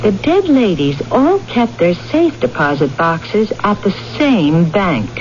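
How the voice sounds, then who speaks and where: Methodical female sergeant, businesslike, expressive pitch, old radio crime drama, dull sound. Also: slow pace. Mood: keen, businesslike. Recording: muffled old radio, faint noise.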